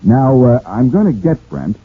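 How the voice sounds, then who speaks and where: An actor playing a quick-witted, cocky amateur detective, probing and wisecracking, in 1940s radio drama style. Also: male voice, mid-range voice, big pitch swings, husky. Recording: muffled, phone-like 1940s radio recording.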